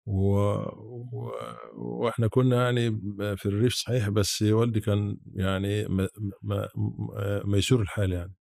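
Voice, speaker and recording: Interview guest: Egyptian male, seventies, lowish creaky voice, reminiscing haltingly with restarts. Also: level tone, a little mellow, dry recording, clean studio sound. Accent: rural-born Egyptian Arabic. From Sharqia, Egypt. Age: 70s. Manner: halting, with repeated false starts. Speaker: podcast guest, academic.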